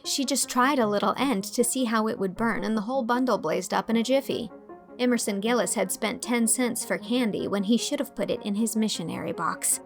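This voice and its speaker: An actress playing an amused, articulate young schoolteacher narrating a letter to a friend in an audio drama. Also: female voice, fairly high pitch, brisk, soft background music, lightly acted. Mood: fond, amused.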